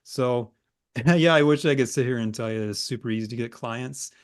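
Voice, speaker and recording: Guest, man in his 40s; mid-pitched, earnest, encouraging tone. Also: fairly even intonation, a little fast, smooth. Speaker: guest, editor and agency owner. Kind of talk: casual interview talk.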